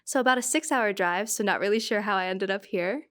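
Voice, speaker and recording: A woman's voice: medium pitch, brisk. A young woman, a college senior from New Jersey, talking casually on a panel with many filler words. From New Jersey, USA. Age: young adult. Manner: relaxed, many "um"s. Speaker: senior undergraduate student.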